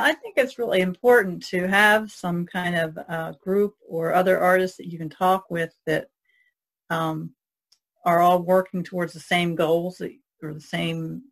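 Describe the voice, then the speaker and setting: A woman's voice: lowish voice, steady tone, unhurried. A woman co-hosting a podcast, talking in a casual, reflective way. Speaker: podcast co-host.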